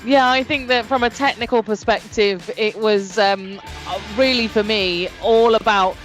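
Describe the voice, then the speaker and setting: A woman's voice: mid-range voice, fairly even intonation, unhurried, faint music behind, low-quality recording. A woman giving rowing analysis as co-commentator, reflective, with many fillers.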